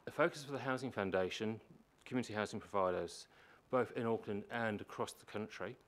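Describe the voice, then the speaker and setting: A man with a low voice presenting a prepared submission at a public meeting, explaining and repeating points for emphasis. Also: fairly quick. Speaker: housing organisation manager presenting to a council. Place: council meeting chamber. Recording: meeting room with faint background noise.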